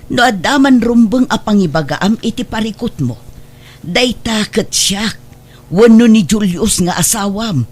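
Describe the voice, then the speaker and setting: A woman's voice: mid-range voice, expressive, unhurried, darkish tone. A woman acting in a radio drama as a worried, middle-aged mother who moralizes to her married daughter. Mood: scolding, pleading.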